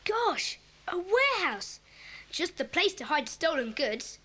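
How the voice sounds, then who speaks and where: A high, swooping schoolboy voice, bossy and eager, giving brisk earnest orders like a young gang leader. It is a children's audio drama on a hissy old cassette. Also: female voice, very animated, pushed and bright, heavily acted. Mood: keen, earnest.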